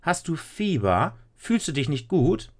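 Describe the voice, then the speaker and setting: A man's voice: medium pitch, sing-song, normal speed, fairly bright tone, voice pushed up. A man voicing a strict, overworked mother in a children's story, firm and scolding. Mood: surprised. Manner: firm, scolding, matter-of-fact. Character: stern busy mother, weary and strict.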